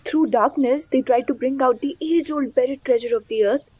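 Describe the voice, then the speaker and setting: A compassionate young woman with a high voice played by an actress in an audio play. She is bold and idealistic, speaking in a heartfelt, expressive and dramatic way, recorded dry and close. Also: normal speed, husky, no echo, low-quality recording. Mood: troubled wonder.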